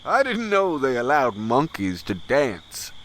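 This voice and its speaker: Man playing a slow, taunting, menacing bigot. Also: mid-range voice, huge pitch swings, a bit bright, slight background noise, heavily acted. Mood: sneering. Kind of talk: audio drama acting. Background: crickets and night insects.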